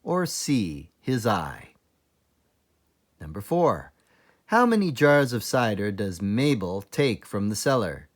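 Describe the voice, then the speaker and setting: A man, a teacher librarian, reading a children's book aloud in a deliberate, expressive storytelling manner. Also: lowish voice. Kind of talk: children's read-aloud narration.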